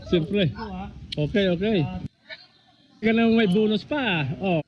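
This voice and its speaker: High-voiced man, dull lo-fi sound. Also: slow pace. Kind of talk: casual chat in a played video clip. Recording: dull lo-fi clip audio.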